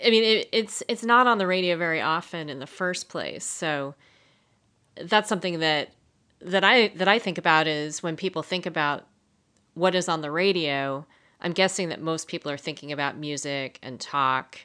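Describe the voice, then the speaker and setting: A woman's voice: deep voice, normal speed, bright, forceful tone. A woman co-hosting a radio show in a conversational discussion style.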